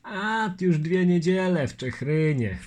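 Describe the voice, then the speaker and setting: A man's voice: sits high, lively intonation, slow pace, darkish tone, voice pushed up. A man voicing a patient, earnest Lithuanian nobleman with old-fashioned courtesy, in an audiobook reading. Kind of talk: audiobook character voice.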